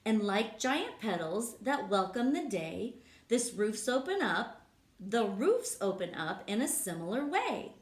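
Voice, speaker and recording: A woman's voice: mid-range voice, very animated, measured pace. A woman voicing an imaginative young boy who describes his dream school in bouncy, sing-song rhymes. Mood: excited. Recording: echoey room.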